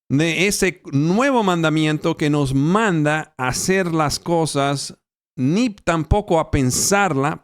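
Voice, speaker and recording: A male pastor preaching a Bible teaching in Spanish, which is not his first language. He speaks slowly and haltingly, with fillers and self-corrections. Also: a little high, dry recording, studio quality. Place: church, recorded for an online channel.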